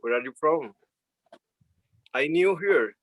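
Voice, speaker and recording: A man, speaking in halting, broken English on a video call. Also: fairly high pitch, animated, no room sound. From Colombia. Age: 40s. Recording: dull lo-fi call audio.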